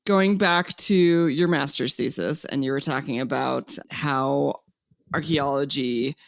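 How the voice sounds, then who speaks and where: A woman's voice: rumbling low, takes it slowly, vocal fry, no echo. A woman hosting a podcast interview, asking encouraging follow-up questions. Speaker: podcast host.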